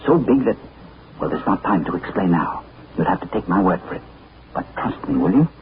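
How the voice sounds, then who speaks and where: An actor playing a cool, witty adventurer captain who talks in a breezy, unflappable way with dry wit. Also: male voice, low voice, very animated, brisk. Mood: urgent, hushed. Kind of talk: 1940s radio acting. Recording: tinny, hissy 1940s radio broadcast.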